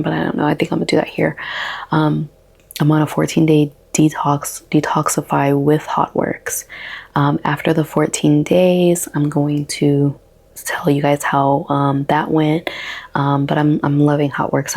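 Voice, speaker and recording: A woman in her thirties with a soft, relaxing voice, talking slowly and haltingly in a casual daily vlog. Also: low-pitched, gravelly. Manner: slow, halting, with many ums. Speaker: vlogger.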